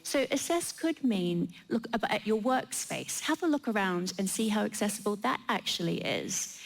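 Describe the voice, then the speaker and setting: A woman with a mid-range voice and marked vocal fry presents on a panel. She explains things methodically, point by point. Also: mid-range voice, lively intonation, moderate pace, faint music behind, poor audio. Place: live event in a theatre.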